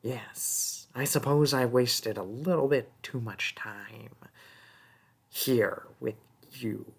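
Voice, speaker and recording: A performer voicing a small, sneaky, mocking goblin thief in a fantasy audio roleplay, taunting and haggling in a husky, breathy voice that often drops to a whisper. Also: medium pitch, full character voice. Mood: haggling, teasing. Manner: taunting, haggling, drawn-out wheedling.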